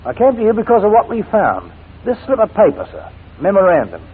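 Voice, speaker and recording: An actor playing a respectful, earnest police inspector making an urgent report in a 1940s radio drama. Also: male voice, high-pitched, wildly swooping pitch, fairly quick, breathy edge, full character voice. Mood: urgent, deferential. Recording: scratchy, narrow-band 1940s radio recording.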